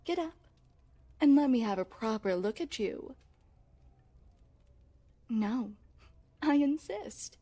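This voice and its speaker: Woman acting a soft-toned, unhurried regal young princess. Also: a little high, lively intonation. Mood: gracious, teasing. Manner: formal, courtly, coy phrasing. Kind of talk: intimate ASMR roleplay acting.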